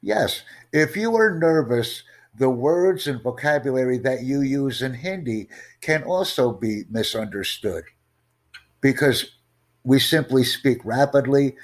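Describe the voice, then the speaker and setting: A male tutor hosts a teaching podcast, answering listeners' questions. He speaks slowly and formally in a measured, mid-range voice, often putting rhetorical questions to his audience. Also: mid-range voice. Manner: slow, formal, deliberate, asks rhetorical questions. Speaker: IELTS tutor and podcast host.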